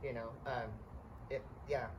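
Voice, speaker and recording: A woman's voice: rumbling low, steady tone, a little slow, distant mic, lo-fi sound. A woman host chatting casually with a guest, joking and giving many short affirmations. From Oregon, US. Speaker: host, interviewer. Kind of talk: casual interview chat. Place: backyard outdoors. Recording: off-mic with outdoor noise.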